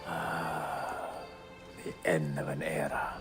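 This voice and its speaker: An actor playing a weary, wise old assassin mentor in a video game, grave and reflective. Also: male voice, very deep voice, flat delivery, takes it slowly, loud music behind the voice. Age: older. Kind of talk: dramatic video game cutscene acting.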